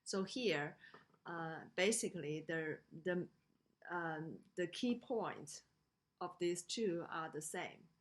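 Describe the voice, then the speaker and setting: Slow, halting woman lecturer with Chinese accent. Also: fairly low pitch. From China. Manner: halting, with frequent pauses and hesitations. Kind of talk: slide lecture on design usability.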